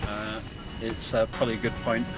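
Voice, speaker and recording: A man's voice: fairly low pitch, little pitch movement, fairly quick, rough recording. A man giving casual, explanatory live commentary alongside a video game speedrun. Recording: game music playing behind voice. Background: video game music and sounds.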